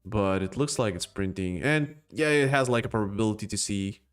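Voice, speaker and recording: Man, non-native English accent, rather low voice, rambling joking live-stream chat, faint background music. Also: a little fast, smooth. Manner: rambling, joking, with laughs and restarts. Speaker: crypto live stream host. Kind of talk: casual live-stream chat, reading chat comments.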